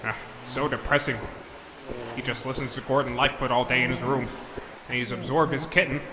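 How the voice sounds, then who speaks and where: A man's voice: medium pitch, fairly even intonation, fairly quick, natural voice. A man playing an exasperated villain in a comedic audio drama, fretting and scolding melodramatically like a disappointed parent, with deflated sighs. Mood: deflated, fretful. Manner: melodramatic, fussy scolding mixed with deflated sighing. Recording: echoey hall reverb, faint noise.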